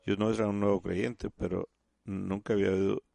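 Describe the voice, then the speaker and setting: Low gravelly man preaching. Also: level tone, mellow, no room sound. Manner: halting, repeats and restarts words; addresses listeners as "usted". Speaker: church speaker. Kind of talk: sermon.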